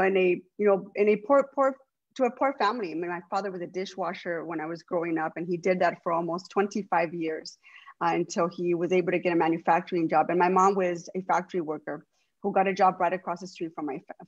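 Council president, female, native Spanish speaker, brisk, lower pitch, dry muffled call audio. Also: fairly even intonation. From Los Angeles, USA. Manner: earnest, speaks with many restarts. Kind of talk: opening remarks at an online public meeting.

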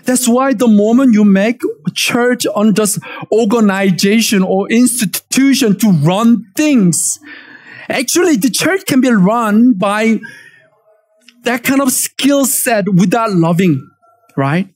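A man's voice: sits high, takes it slowly. Korean-accented man preaching animatedly. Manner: animated, emphatic preaching with call-and-response. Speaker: pastor. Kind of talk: sermon. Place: church stage, indoors.

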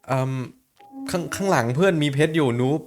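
A young adult man giving casual, excitable reaction commentary on an animation, exclaiming often and speaking in slang. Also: a little high, fairly quick, soft background music.

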